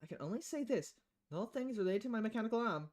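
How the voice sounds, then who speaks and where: A woman's voice: on the high side, animated, racing, darkish tone, lightly acted. A woman voicing a playful, cagey female bar boss in a visual novel, evasive and mock-formal.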